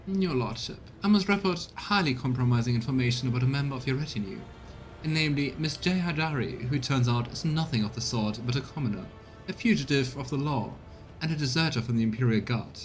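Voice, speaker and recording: A man's voice: medium pitch, animated, brisk, faint music behind, lightly acted. A man voicing a prim, formal retainer in a video game, wordy and deferential in manner. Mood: officious, formal. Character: prim, formal retainer reporting to his lord.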